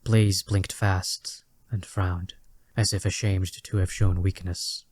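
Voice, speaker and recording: Low, steady male story narrator. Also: no echo, clean studio sound. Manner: measured, literary storytelling. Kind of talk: scripted dramatic story narration.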